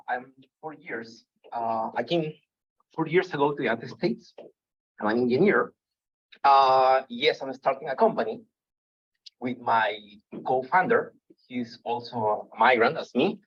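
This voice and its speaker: A man from Peru with a Peruvian Spanish accent giving a presentation, pausing often and restarting his sentences. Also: mid-range voice, husky. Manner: halting, with many fillers and restarts. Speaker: guest speaker. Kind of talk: presentation talk. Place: meeting room. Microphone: hall microphone. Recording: dull lo-fi room recording.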